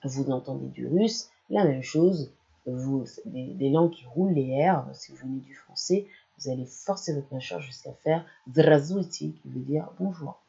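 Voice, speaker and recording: Gravelly, mellow French-speaking teacher. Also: mid-range voice, unhurried, highs cut off. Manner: explanatory, addresses listener directly. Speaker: language channel presenter. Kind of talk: educational talk to camera.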